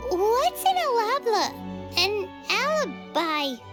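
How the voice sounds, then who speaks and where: A tiny floating fairy girl for a video game, extremely high and squeaky. The delivery swings wildly up and down, cheeky and exclamatory, with game music playing behind. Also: very animated, slow, heavily acted. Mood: curious, impatient. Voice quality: squeaky, childlike. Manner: speaks of self in third person, exclamatory. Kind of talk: video game character acting.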